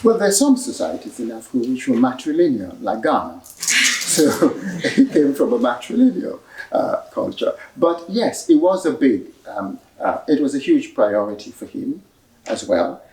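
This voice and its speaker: A man giving measured, explanatory answers at a library book talk, pausing often with fillers. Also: mid-range voice, very animated, warm tone. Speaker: author and speaker at a book talk. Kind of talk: answering audience questions. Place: library event room. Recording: echoey room, voice from a distance.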